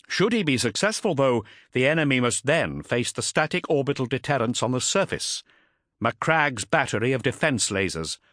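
A man voicing a stern, resolute warrior captain in an audiobook, speaking formally with measured, emphatic oratory. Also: mid-range voice, big pitch swings, a bit bright, dry recording, clean studio sound, voice pushed up. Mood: grave, resolute.

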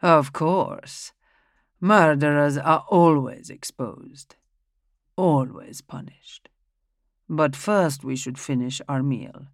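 A woman voicing an aloof elderly man, head of a strange family, speaking slowly and coldly calm. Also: sits low, no room sound, clean studio sound.

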